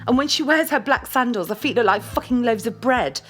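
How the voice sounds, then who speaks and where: A woman's voice: on the high side, animated, brisk, a bit bright. A woman with a British accent playing a blunt, scornful, image-obsessed girlfriend in an audio drama, with a cutting, emphatic delivery.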